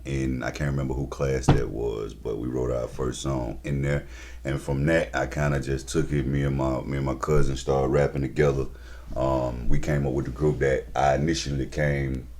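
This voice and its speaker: A man's voice: extremely low pitch, a little mellow. A man in his thirties, a rapper and label owner, talking casually and laid-back in an interview, with slang and lots of fillers. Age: 30s.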